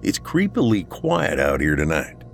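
Man voicing a worried, exasperated buddy, swooping pitch. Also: wildly swooping pitch, a little slow, soft background music, full character voice. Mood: concerned. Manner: earnest, colloquial, easily exasperated. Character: worried, loyal male friend, rattled but practical. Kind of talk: audiobook character dialogue, horror story.

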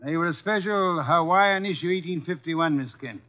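An actor playing a fat, shrewd, commanding middle-aged detective with formal, precise diction in a 1950s radio drama. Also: male voice, on the high side, moderate pace, lightly acted. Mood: satisfied, explanatory. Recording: dull, lo-fi vintage radio recording.

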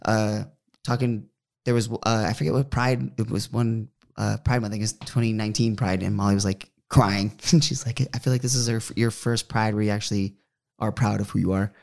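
A man's voice: fairly low pitch, steady tone, a little fast, creaky, a little mellow. A young man, a podcast co-host, telling a personal story in a casual, rambling way with lots of fillers and restarts. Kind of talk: casual chat.

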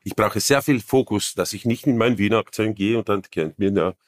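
Deep-voiced man, halting and searching for words. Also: no echo, clean studio sound. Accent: Viennese. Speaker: actor guest. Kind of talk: casual interview chat.

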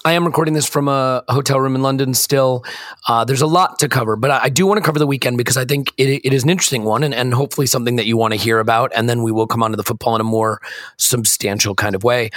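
A hoarse, croaky-voiced American man hosting a casual podcast from a hotel room, self-deprecating and rambling. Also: medium pitch, fairly even intonation, quick pace, fairly bright tone, no echo, clean studio sound. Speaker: podcast host.

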